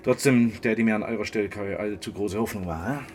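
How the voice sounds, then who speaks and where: A man's voice: on the low side, brisk, a little mellow, natural voice. Man playing a folksy, teasing scientist. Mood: matter-of-fact. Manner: chatty, folksy, teasing, tag questions. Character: jovial, quirky, teasing scientist, an informal fruit researcher. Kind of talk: German radio drama acting.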